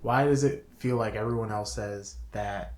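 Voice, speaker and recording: A man's voice: lowish voice, normal speed, a little mellow. A man co-hosting a podcast in casual conversation, talking in a self-deprecating way. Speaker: podcast co-host. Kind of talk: casual chat. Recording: clearly noisy room recording.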